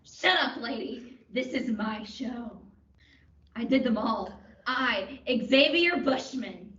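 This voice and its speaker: Young woman playing a high-pitched, unhinged confessing killer. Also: animated, takes it slowly, sharp tone, heavily acted. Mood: manic, boastful. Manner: melodramatic, theatrical. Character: crazed, boastful confessed killer, melodramatic and unhinged. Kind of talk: comic radio play acting. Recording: dull, roomy, distant microphone.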